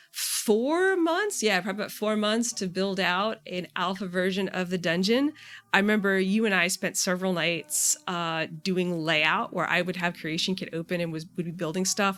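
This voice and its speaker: A woman's voice: lowish voice, fairly bright tone. A woman who develops game mods, giving casual, reflective answers in a conversational interview style. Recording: clearly noisy background.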